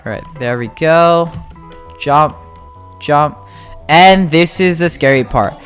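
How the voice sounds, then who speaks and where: A casual gameplay guide host giving tutorial commentary in an informal, halting way, thinking aloud. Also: mid-range voice. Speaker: game guide video host. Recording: music behind the voice. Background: music.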